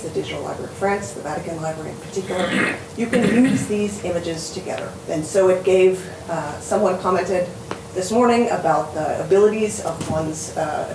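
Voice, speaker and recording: Low-voiced woman speaking in a far, echoey hall. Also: noisy background. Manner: earnest, with frequent fillers. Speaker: panel speaker. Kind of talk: conference talk.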